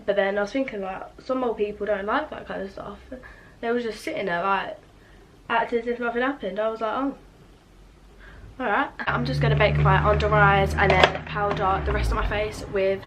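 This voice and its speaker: A woman's voice: mid-range voice, fairly bright tone, a little hiss or hum, low-quality recording. Sleepy chatty teen girl. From England. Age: teens. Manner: chatty, rambling, filler-heavy with frequent "like". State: just woken, sleepy voice. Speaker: beauty vlogger. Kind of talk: casual get-ready-with-me chat.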